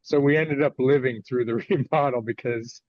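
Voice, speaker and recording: An American man in his sixties speaking conversationally as an interview guest, often pausing mid-thought. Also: mid-range voice, fairly even intonation, no room sound. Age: 60s. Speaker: guest author. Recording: slightly dull, lo-fi audio.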